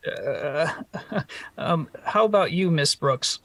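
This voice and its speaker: A man's voice: on the high side, animated, slow, fairly bright tone, no room sound, recorded from a distance, full character voice. An actor playing a naive, polite, bashful male teacher in a vintage radio comedy, speaking hesitantly. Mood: mild, agreeable.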